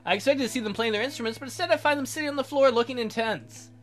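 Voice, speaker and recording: A woman's voice: on the high side, very fast, soft background music. A woman hosting a let's play, reading a visual novel aloud with wry, irreverent asides.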